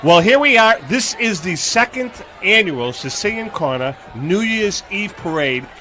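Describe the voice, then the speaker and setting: Male comic parade host, high animated voice, excitable and playful, repeats himself, clear noise on a live broadcast. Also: unhurried. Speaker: radio show host. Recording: noisy live radio broadcast.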